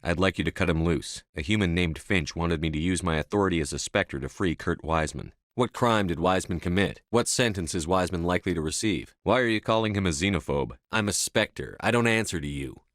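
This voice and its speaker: A man's voice: low voice, fairly quick, hardly any pauses, fairly bright tone, dry recording, clean studio sound. A male actor voicing a stern, commanding military officer in a science fiction video game, speaking with firm, clipped authority. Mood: firm, assertive. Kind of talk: video game voice acting, dramatic dialogue lines.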